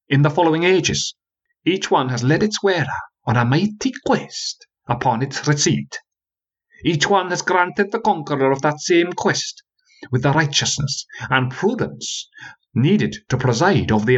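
A man's voice: a little high, slightly rough, lo-fi sound, pitched above the performer's own voice. A man voicing a proud, patriotic old male storyteller who declaims grandly and with passion.

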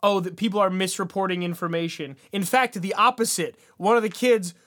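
A man's voice: high-pitched, brisk, fairly bright tone. A man co-hosting a podcast, chatting and reviewing in a casual, colloquial, wry manner. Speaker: podcast co-host.

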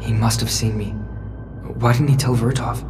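An anxious, curious teenage boy played by a man in a sci-fi audio drama. He sounds hesitant and questioning in a medium-pitched voice, with long reverb and music behind him. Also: fairly even intonation, moderate pace, close to an everyday voice. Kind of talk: audio drama acting with inner monologue.

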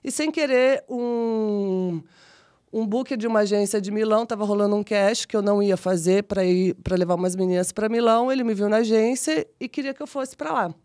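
A woman in her forties from São Paulo with a Brazilian São Paulo accent, telling her life story as a podcast guest in a chatty, animated, self-deprecating way. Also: mid-range voice, fairly quick. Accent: São Paulo Brazilian Portuguese. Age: 40s. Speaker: guest, model and actress. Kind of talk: casual interview storytelling.

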